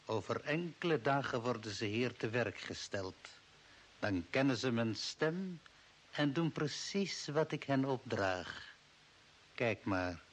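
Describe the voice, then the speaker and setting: A man's voice: medium pitch, fairly bright tone. An actor playing a cold, authoritarian old man from Earth who serves the Martians in an older Dutch radio play, commanding and scornful. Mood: commanding, controlled. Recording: hissy, noisy old radio recording.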